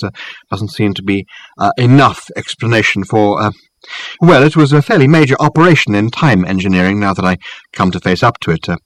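A man voicing an eccentric, amiable elderly academic in an audiobook, rambling and halting with many hesitant ums. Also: sits low, fairly bright tone, no echo. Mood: hesitant, reflective. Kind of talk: audiobook character acting.

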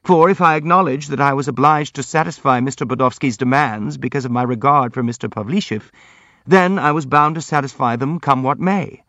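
Audiobook role: gentle young prince, performed by a man, courteous, earnest, timid, hurrying to explain himself. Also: medium pitch, brisk, no echo, lo-fi sound, voice pushed up, natural voice. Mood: hasty, apologetic. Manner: polite, earnest, hastily apologetic.

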